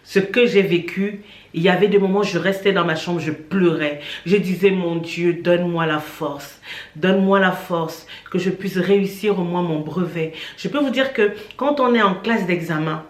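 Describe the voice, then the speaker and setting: A woman's voice: lowish voice, normal speed. A woman from Cameroon giving an earnest personal faith testimony, speaking straight to the listener and repeating points for emphasis. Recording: echoey room.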